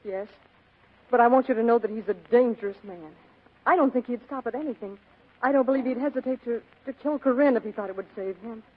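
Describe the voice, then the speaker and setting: Anxious, quick-talking young woman played by an actress, old radio. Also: fairly high pitch, natural voice. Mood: earnest, worried. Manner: breathlessly hesitant, earnest. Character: anxious, pleading young female client. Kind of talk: 1940s radio drama acting. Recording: tinny band-limited vintage radio broadcast.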